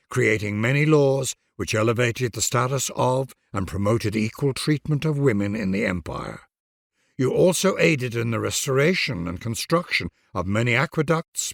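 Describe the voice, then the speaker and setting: A man's voice: medium pitch, gravelly, no echo, studio quality. A man reading scripted grand historical narration for a video game in a solemn, formal, stately manner.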